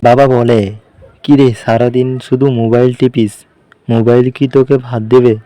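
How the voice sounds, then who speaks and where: A man's voice: mid-range voice, little pitch movement, moderate pace, dark tone, no echo, lightly acted, rough recording. A man voicing an idle, chatty young guy in a cartoon, talking casually as if with a friend. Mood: casual, bored. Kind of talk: cartoon dialogue, casual phone chat between friends.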